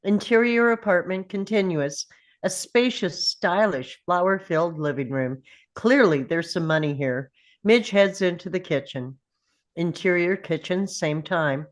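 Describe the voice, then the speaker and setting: A woman's voice: on the low side, measured pace. A woman reading scripted stage directions as narrator at a table read.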